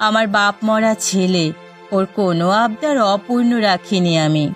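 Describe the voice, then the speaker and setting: A woman performs an older widowed mother in a village cartoon. She is proud and indignant, and talks in a homely, folksy manner. Soft music plays underneath. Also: mid-range voice, moderate pace, lightly acted. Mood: wounded pride. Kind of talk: cartoon dialogue.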